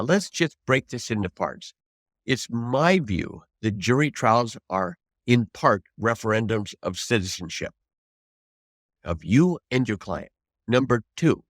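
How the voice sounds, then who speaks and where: A man in his seventies, a trial lawyer teaching advocacy in an emphatic, deliberate, conversational way with rhetorical prompts. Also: fairly low pitch, no room sound, clean studio sound. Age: 70s. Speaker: trial lawyer, interview guest.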